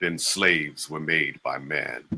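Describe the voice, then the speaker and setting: A man giving a slow, solemn dramatic reading of an old address and poem. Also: very deep voice, bright, forceful tone, husky. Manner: slow, measured, solemn recitation. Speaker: reader of historical texts.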